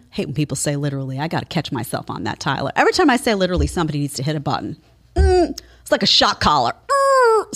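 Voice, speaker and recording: Woman host ranting sarcastically, very lively swoopy pitch, mid voice. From Italian family background. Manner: sarcastic, incredulous, emphatic. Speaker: show host. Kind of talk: opinion commentary monologue.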